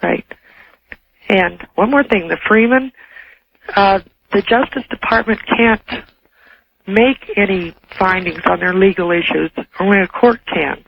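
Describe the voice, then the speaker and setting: A woman phones in to a radio talk show with casual questions. Her voice is gravelly with vocal fry, and the phone line dulls the sound. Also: medium pitch, a little slow, a little hiss or hum. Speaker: phone-in caller. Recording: dull telephone line on radio.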